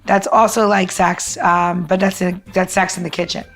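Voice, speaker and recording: A woman's voice: lowish voice, flat delivery, moderate pace, soft background music. A woman co-hosting a podcast in casual, conversational chat. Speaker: podcast co-host.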